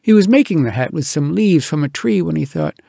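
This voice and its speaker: Male storyteller for comic poetry: raspy, fairly high voice, expressive and theatrical, recorded close and dry. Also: no room sound. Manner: theatrical, animated delivery with dramatic pauses. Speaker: storyteller reading poems aloud.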